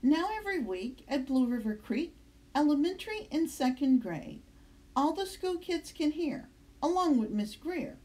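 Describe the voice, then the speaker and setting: A woman reading a rhyming children's story aloud with expressive, measured, sing-song delivery. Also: sits high. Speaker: storybook reader. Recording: roomy, echoing indoor sound.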